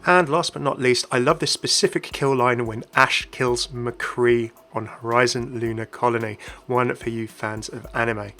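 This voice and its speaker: A man's voice: medium pitch, fairly even intonation, moderate pace, hardly any pauses, fairly bright tone. A man presenting a chatty, inquisitive explainer as a gaming video host, scripted with casual asides. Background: faint background music.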